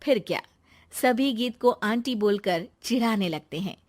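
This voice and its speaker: A woman's voice: fairly high pitch, lively intonation, a little slow. A woman narrating a scripted moral story as a storyteller.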